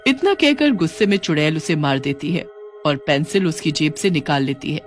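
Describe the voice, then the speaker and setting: A man delivers scripted storybook narration. His voice sits fairly high for a male, with faint music underneath. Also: expressive, normal speed.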